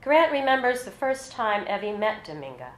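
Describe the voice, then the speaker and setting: A woman reads literary fiction aloud as its author. Her pitch sits in the middle range for a woman. The room is audibly echoey around her, as at a live indoor reading. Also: sing-song, normal speed. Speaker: author reading her own short story. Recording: roomy, echoey live event recording.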